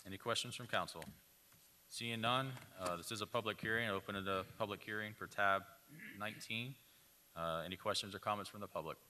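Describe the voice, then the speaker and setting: A man's voice: on the low side, fairly quick, pushed and bright, a little hiss or hum. A man chairing a city council meeting as mayor, running motions and public hearings in routine procedural phrasing. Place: council chamber. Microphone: desk microphone.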